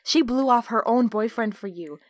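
A woman playing a betrayed, defiant captive in an audio drama, speaking with hurt, accusing, sharp-tongued emotion. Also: on the high side, normal speed, no room sound, natural voice. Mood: angry, accusing. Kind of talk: audio roleplay acting.

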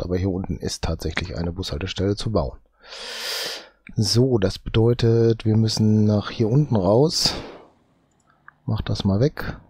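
A man with a cold talks through his gameplay in a low, unhurried voice. He mutters to himself and drags his words out, often tagging a quick "ne?" onto the end. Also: darkish tone, slightly rough, no room sound, studio quality. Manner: muttering, drawn-out, tags lines with "ne?". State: has a cold. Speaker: let's play host. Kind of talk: slow, thinking-aloud gameplay commentary.

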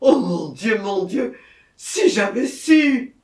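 A man's voice: high voice, very animated, slow, echoey room, voice pushed up, exaggerated voice. A man voicing a terrified, anguished male murderer in an audiobook, pleading and repeating himself in exclamations. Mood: terrified despair.

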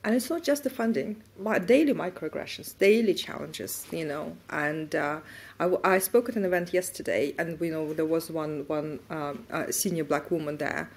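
A woman in her forties speaking as a guest on a conference stage, animated as she makes her points. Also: sits low, normal speed, gravelly, slightly rough. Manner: animated, gestures as she talks. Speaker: panel guest. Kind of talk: fireside chat on stage. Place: conference stage before an audience. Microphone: lapel mic.

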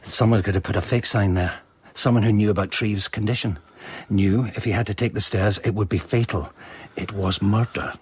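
A man's voice: lowish voice, fairly even intonation, moderate pace, breathy edge. An actor playing an earnest, persistent ex-serviceman and amateur sleuth, courteous but probing, in a radio drama. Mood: urgent, earnest. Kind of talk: British radio drama acting.

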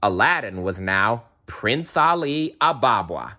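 A man reading a children's storybook aloud in an animated style, with playful asides to the listener. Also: on the low side, slow pace. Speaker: storybook reader.

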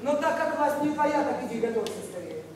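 An actress playing a sharp-tongued, sarcastic woman running an apartment in a stage comedy. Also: high voice, lively intonation, brisk, a little hiss or hum. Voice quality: sarcastic, cutting. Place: large theatre stage. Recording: echoey theatre from far off.

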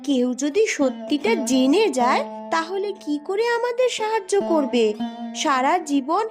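Woman acting a young cartoon princess, elder sister, high voice, earnest, cautious, admonishing, music behind. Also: lively intonation, measured pace. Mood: anxious reproach. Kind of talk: cartoon story dialogue.

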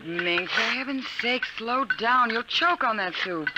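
A woman playing an indulgent, amused mother in a 1950s radio drama, gently teasing and placating. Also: on the high side, animated, measured pace, loud music behind the voice, low-quality recording. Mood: brisk, motherly. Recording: vintage 1950s radio recording, faint noise.

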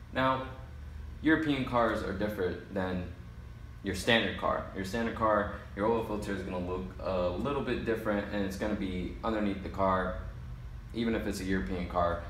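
Low-voiced man explaining car repair. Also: fairly even intonation, brisk, a bit bright. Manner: casual step-by-step instruction while working. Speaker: auto mechanic. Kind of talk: how-to repair vlog. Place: auto repair shop garage. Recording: echoey garage with faint noise.

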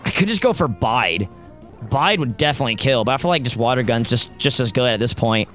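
A young man with a worn, raspy voice commenting on his own gameplay, close to a studio mic at a home setup and cheering on his team loudly. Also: medium pitch, expressive, brisk. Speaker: gaming YouTuber. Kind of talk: Let's Play commentary. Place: home gaming setup, indoors. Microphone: studio mic close up, seen. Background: faint game music.

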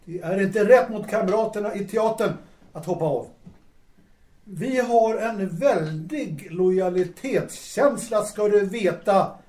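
Man playing high, mellow, wounded theatre actor. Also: close to an everyday voice. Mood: reluctant, earnest. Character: wounded, reluctant, loyal male theatre actor in 1890s Paris. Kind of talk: audio drama acting. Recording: echoey room, distant from mic.

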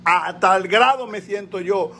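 A man's voice: high voice, a little slow, bright, forceful tone, slight background noise. A man in his sixties with a Dominican Spanish accent, delivering a fiery political rant, hammering his points by repeating words, filmed on a phone at arm's length in a small room at home. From Dominican Republic. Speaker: political commentator. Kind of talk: impassioned political rant on a live call.

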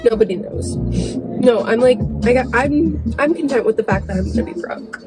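A young woman nursing student chatting casually with a friend in a parked car, agreeing quickly and using many fillers. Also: fairly high pitch. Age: young adult. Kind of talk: casual chat. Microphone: phone camera on dashboard. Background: background music.

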